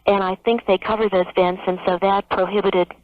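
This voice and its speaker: A woman's voice: medium pitch, little pitch movement, a little fast. A woman phoning in to a radio advice show, explaining her problem conversationally. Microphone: telephone. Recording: tinny narrow phone line on radio.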